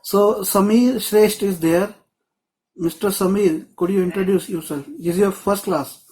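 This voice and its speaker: A man's voice: sits high, measured pace, darkish tone, poor audio. A man teaching a screenwriting class on a video call, explaining in a loose, rambling way with many restarts. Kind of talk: live online class.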